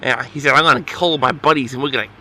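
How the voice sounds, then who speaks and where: A man's voice: medium pitch, rapid-fire, bright, forceful tone, slight background noise. A man telling a story casually as host of an audio log, rambling in an awkward, flustered, exasperated way.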